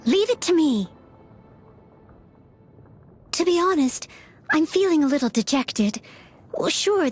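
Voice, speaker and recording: Game casting: devoted teenage maid, polite and eager, high lilting voice with lively swings, music underneath. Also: female voice. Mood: polite, admiring, then dejected. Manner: polite, earnest, eager to please. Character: earnest, devoted young maid and aspiring knight, teenage girl. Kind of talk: video game character voice lines. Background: background music.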